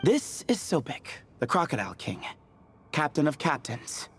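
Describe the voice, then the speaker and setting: A man voicing an easygoing, teasing young temple scholar in a video game, explaining lore in a clear, playful way. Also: a little high, big pitch swings, normal speed. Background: soft game music.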